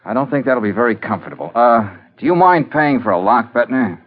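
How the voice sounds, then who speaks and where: An actor playing a cool, wisecracking private detective, breezy and hard-boiled, in 1940s radio style. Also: male voice, mid-range voice, expressive, brisk, natural voice. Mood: dry, sizing up. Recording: muffled old radio recording.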